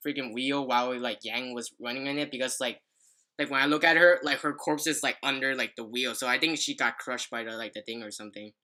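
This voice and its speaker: Low-voiced, bright young woman telling stories. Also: fairly quick. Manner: rambling, filler-heavy, stumbling over words. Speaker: podcast co-host telling a personal story. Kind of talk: casual chat, storytelling.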